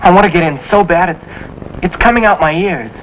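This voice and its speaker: An actor playing an earnest young American Navy recruit who speaks hesitantly, in 1950s television drama style. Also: male voice, sits high, moderate pace, fairly bright tone. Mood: desperate, pleading. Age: young adult. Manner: hesitant, repeats himself earnestly. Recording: dull, noisy 1950s television soundtrack.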